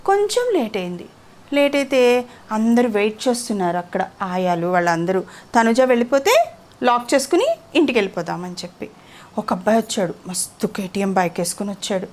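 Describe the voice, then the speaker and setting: A woman's voice: mid-range voice, expressive, fairly quick, darkish tone. A woman telling a moral story conversationally to a child, often stopping to ask questions. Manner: interactive, asks the child questions.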